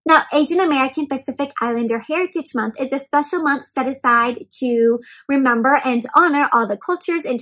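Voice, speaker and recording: A young woman hosting an interactive read-aloud for small children, speaking expressively and often putting questions to her listeners. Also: fairly high pitch. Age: young adult. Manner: animated, expressive, addresses children with frequent questions. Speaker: children's story-time host. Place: small indoor room at home with bookshelves and plants.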